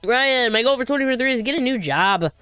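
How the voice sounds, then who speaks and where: A woman's voice: a little high, lively intonation, fast talker, a bit bright, clear, resonant tone, dry recording, faint music behind. A woman hosting a casual livestream, rambling and chatty as she reads viewer comments, her voice still recovering from being sick. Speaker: livestream host.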